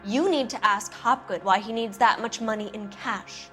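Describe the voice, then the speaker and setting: An actress playing a cynical, guarded producer's wife in a 1940s noir video game, answering in a cool, bitter, evasive way. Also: female voice, mid-range voice, normal speed, fairly bright tone, faint music behind, close to an everyday voice.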